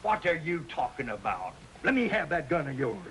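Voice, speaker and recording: Bright-voiced, blustering old prospector. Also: male voice, fairly high pitch, big pitch swings, moderate pace, a little hiss or hum. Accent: American. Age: older. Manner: folksy, blustering drawl. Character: boastful, excitable old prospector grandfather of the Old West. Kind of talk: 1950s television western acting. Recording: old TV soundtrack with background music.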